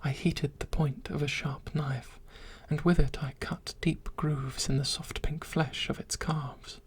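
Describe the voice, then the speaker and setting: A narrator reading a dark story in a soft, steady whisper. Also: medium pitch, unhurried. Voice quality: very breathy.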